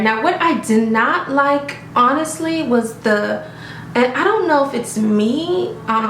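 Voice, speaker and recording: A chatty, upbeat woman with a slightly high voice talks to her viewers in a casual home review. The room around her is roomy and echoey, with a faint hiss underneath. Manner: chatty and upbeat, often addressing viewers as "you guys" and asking "okay?". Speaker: beauty vlogger reviewing a wig. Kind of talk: casual product review vlog. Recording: roomy echo.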